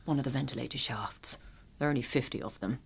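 A woman's voice: very deep voice, quick pace, creaky, slightly rough, exaggerated voice. An actress playing a spirited, anxious female agent in a radio drama, urgent in manner. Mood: urgent hope.

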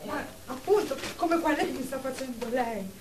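A paranoid, nervous woman patient played by an actress in a comic audio drama. Her fairly high voice stumbles and repeats, heard from a distance in an echoey room with clear noise. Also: lively intonation. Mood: irritated. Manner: halting, stammering, repetitive. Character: nervous, paranoid female patient resenting questions. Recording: echoey, distant mic, noisy background.

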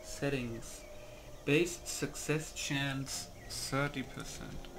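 A man's voice: medium pitch, fairly even intonation, drawn-out words, noisy background. A man doing casual game commentary, muttering to himself as he reads settings aloud and comments loosely. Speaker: gamer recording a mod setup.

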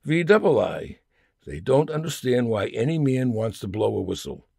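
A man's voice: medium pitch, big pitch swings, normal speed, creaky, mellow, husky, no echo, studio quality. A man reading a scripted humorous monologue aloud in a wry, mock-serious manner, stressing items as he lists them. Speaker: humorist reading own comic essay.

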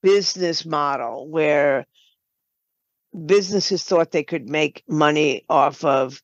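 An older woman speaking as an interview guest, halting and deliberate, in a slightly dull recording. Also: deep voice, no echo. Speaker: guest, game writer and producer. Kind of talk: conversational interview.

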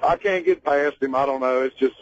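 A man calling in to a talk show, giving opinions in a casual, halting, plain-spoken way. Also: medium pitch. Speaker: caller. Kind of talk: casual opinionated phone-in chat. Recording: dull lo-fi phone-in line.

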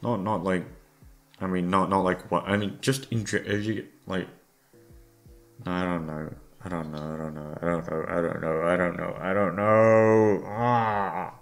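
A man with a gravelly voice rambling casually, tired and downcast, hesitant and self-deprecating, swearing often. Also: low-pitched, steady tone, a little slow. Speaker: audio creator talking to listeners. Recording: music playing behind the voice. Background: soft background music.

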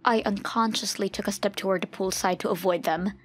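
A woman narrates a story in the first person from a script. Her pitch is middling and her manner earnest. Soft music runs underneath. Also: steady tone, fairly quick.

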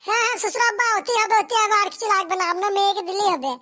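This is a cartoon young bridegroom, brash and impatient. The voice is extremely high and piercingly bright, and it races along. He talks in crude, blunt bursts of complaint. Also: very fast. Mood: eager. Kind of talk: comedy cartoon dialogue.

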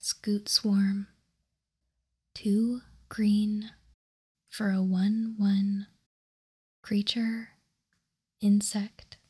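A woman whispering softly and breathily in an ASMR style, close to the microphone, reading out and commenting in a careful, deliberate way. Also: mid-range voice, level tone, husky. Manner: slow, soft, deliberate delivery with careful pauses. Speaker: host of a trading card ASMR channel.

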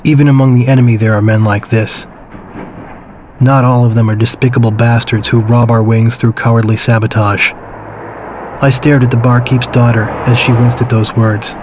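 An actor playing a man who somberly recalls his wartime childhood in measured, reflective story narration. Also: mid-range voice, little pitch movement, noisy background, close to an everyday voice. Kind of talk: scripted first-person story narration.

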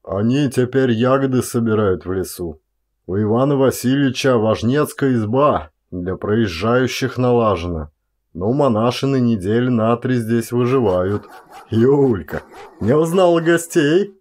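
A man's voice: medium pitch, animated, unhurried, a little mellow. A man voicing a jaunty, overfamiliar town coachman in an audiobook story. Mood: chatty. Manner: jaunty, familiar.

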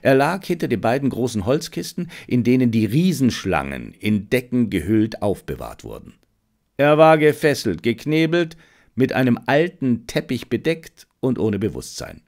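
Male audiobook narrator, mid-pitched, highly animated, dramatic and suspenseful. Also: moderate pace. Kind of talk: scripted narration of a detective adventure.

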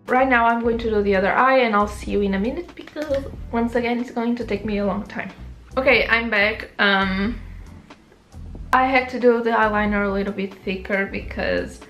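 A woman's voice: fairly high pitch, moderate pace, fairly bright tone, faint music behind. A young woman with a Portuguese accent, chatting through a makeup tutorial, often correcting herself. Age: young adult. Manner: chatty, self-correcting, rambling asides. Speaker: beauty vlogger. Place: small bedroom, indoors. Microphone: camera close up.

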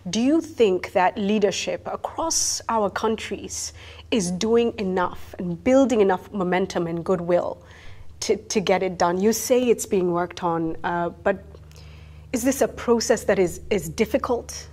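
A woman in her thirties hosting a studio interview, asking quick, conversational questions on a lapel mic. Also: medium pitch, a little mellow. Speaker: talk show host. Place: indoor studio set, seated in wicker chair.

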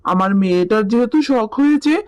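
A performer voicing a gentle, reassuring animal father in a children's cartoon, speaking in a soothing, earnest manner. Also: medium pitch, animated, fairly quick, dull audio, close to an everyday voice. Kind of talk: Bengali children's cartoon voice acting.

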